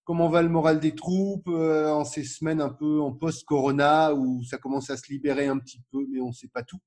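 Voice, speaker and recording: A male podcast host with a slightly higher-than-usual voice. He asks friendly questions and introduces guests, restarting a few phrases along the way. He sounds casual and conversational. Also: little pitch movement, normal speed, a little mellow.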